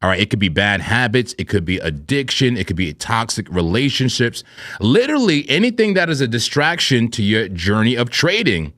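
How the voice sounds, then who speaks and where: A man co-hosting a live trading stream, with a smooth radio-style voice, talking in a playful, animated way and often clearing his throat. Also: mid-range voice, fairly quick, no room sound, studio quality. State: throat irritated from vaping. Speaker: co-host of a crypto trading stream. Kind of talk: casual live-stream banter.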